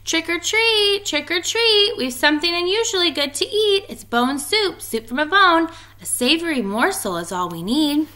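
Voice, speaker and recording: A woman's voice: extremely high pitch, expressive, normal speed, hardly any pauses, bright, forceful tone, pitched above the performer's own voice, heavily acted. A woman voicing a gleeful, scheming witch in an emphatic singsong for a storybook. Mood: singsong, tempting. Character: gleeful scheming hungry female witch, cackling trickster.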